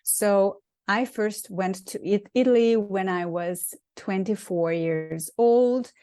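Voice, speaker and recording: A woman's voice: mid-range voice, slow, warm tone, clean tone, no echo, clean studio sound. A woman in her forties from Hungary with a Hungarian accent, telling her story as an interview guest in a thoughtful way with many ums and restarts. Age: 40s. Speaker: guest, actress and acting coach. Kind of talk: casual interview chat.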